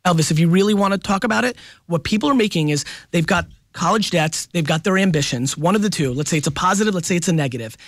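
A man in his forties speaking as a radio guest, emphatic and animated, keeping his points moving with quick "right?" tags. His voice sits a touch higher than most men's. It is recorded close on a studio mic with a very dry, dead sound. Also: no room sound. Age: 40s. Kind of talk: casual radio interview. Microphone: studio microphone up close.

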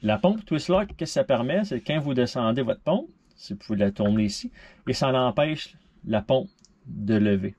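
A man's voice: medium pitch, animated, moderate pace, darkish tone, breathy edge, dull audio. A man in his thirties with a Québécois French accent, casually explaining a boat toilet refit from a small cabin, thinking aloud and mixing in English terms. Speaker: sailboat owner showing a refit.

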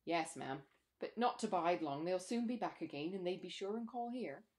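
A woman's voice: on the low side, expressive, normal speed, a little mellow, lightly acted. Woman reading as rambling, deferential country manservant. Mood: earnest, deferential. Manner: respectful, rambling, uneducated country grammar. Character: deferential, talkative rustic manservant, male. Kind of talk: storybook character dialogue.

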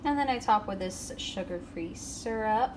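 A woman's voice: mid-range voice, expressive, normal speed, background noise. A woman in her thirties chatting casually through a keto food and grocery vlog in her home kitchen, upbeat and full of fillers. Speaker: keto vlogger.

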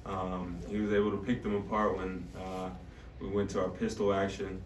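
Give a young man in his twenties with a lowish, very even voice. He answers like an athlete at a press conference, halting as he goes. The room is echoey. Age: 20s. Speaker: college basketball player. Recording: echoey room, distant mic, faint noise.

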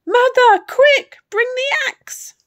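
An eager young boy from a storybook, acted by a woman, plucky and wide-eyed. The voice is high and excitable, in a close, dry recording. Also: a little slow, dry recording, heavily acted. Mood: urgent.

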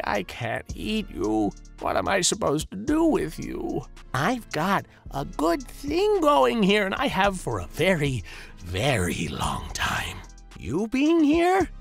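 A man's voice: high-pitched, a bit bright, exaggerated voice. A man voicing a weary, menacing shapeshifting clown monster in an audio story, with a theatrical, exasperated way of lecturing. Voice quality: gravelly, swooping voice.

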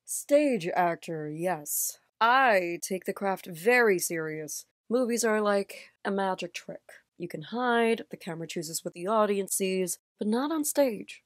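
An actress playing a confident, alluring and mysterious stage actress who comes as a client in an audio drama, poised and dramatic. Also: female voice, mid-range voice, expressive, a little slow, hardly any pauses, no echo, clean studio sound, natural voice. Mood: wistful, confiding.

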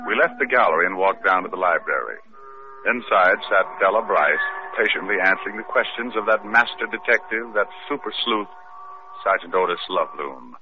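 An actor playing a tough, wisecracking private detective who also narrates, in 1940s radio acting style. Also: male voice, low-pitched, lively intonation, fairly quick, soft background music. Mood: narrating. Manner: dry, wisecracking. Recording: tinny old radio with faint hiss.

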